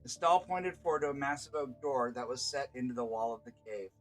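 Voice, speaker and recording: A man's voice: mid-range voice, quick pace, a bit bright, soft background music. A man reading scripted fantasy narration aloud as a game master and storyteller.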